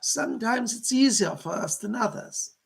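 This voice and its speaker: A man voicing a gentle, motherly female spirit guide in a trance séance, effusive and soothing, with genteel endearments and a husky, creaky voice. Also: extremely high pitch, animated, moderate pace, heavily acted. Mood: apologetic, reassuring.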